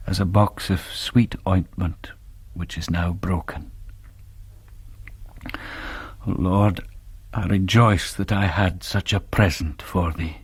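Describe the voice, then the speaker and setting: Radio drama lead: grave young Scottish clergyman, lowish voice, melancholy, very dry sound. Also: breathy edge, no room sound, full character voice. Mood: grieving. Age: young adult. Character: young Presbyterian minister, grave, devout and melancholy. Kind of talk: radio drama acting, reading letters and journal.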